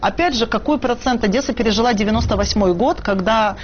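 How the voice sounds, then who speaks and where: A woman's voice: a little high, quick pace, muffled recording, a little hiss or hum. A woman guest in a studio debate, insistently explaining details.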